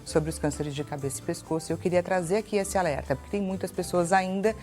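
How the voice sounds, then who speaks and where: A woman's voice: on the low side, fast, darkish tone, faint music behind. A Brazilian woman hosting a TV interview, asking polite, formal questions. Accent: Brazilian Portuguese. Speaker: TV host.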